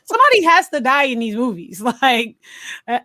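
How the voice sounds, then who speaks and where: Woman, slightly high voice, casual podcast co-host, informal slangy delivery, dry close recording. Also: very animated, normal speed, sharp tone, no room sound. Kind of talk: casual film review chat.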